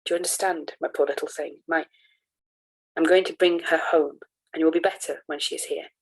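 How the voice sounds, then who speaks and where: A woman reading the part of a trembling, pitying old gentleman who speaks in a shaky whisper. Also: low-pitched, fairly even intonation, brisk, a little hiss or hum, poor audio. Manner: gentle, helpless.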